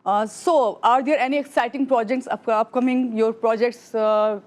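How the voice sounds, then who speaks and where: A woman's voice: on the high side, fairly even intonation, normal speed, darkish tone. A woman hosting a morning show, asking interview questions with frequent hesitations and fillers.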